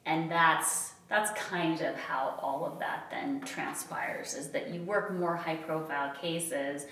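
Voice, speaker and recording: A middle-aged woman with a Utah accent talks in a low, easy voice, chatty and quick to laugh at herself. She sits back from the mic, and the room gives her words a roomy echo. A faint hum of room noise sits behind her. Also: a bit bright, distant mic. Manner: chatty, self-deprecating, laughs often. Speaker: podcast guest. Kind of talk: casual interview chat.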